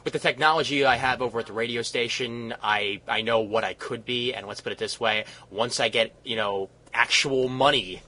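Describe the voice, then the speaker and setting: A man's voice: medium pitch, moderate pace, few pauses, bright, forceful tone, low-quality recording. A young man hosting a casual podcast chat over a call, rambling with fillers and restarts. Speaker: college radio host. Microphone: Skype call mic.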